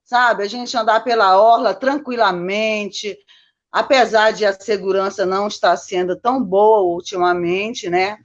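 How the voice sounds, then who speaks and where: A woman's voice: medium pitch, steady tone, measured pace, highs cut off. A Brazilian woman chatting casually as a guest, telling personal stories and often checking for agreement. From born in Manaus, lived mostly in Minas Gerais, Brazil. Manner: chatty, constantly seeking agreement with tag questions. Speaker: guest, Copacabana resident.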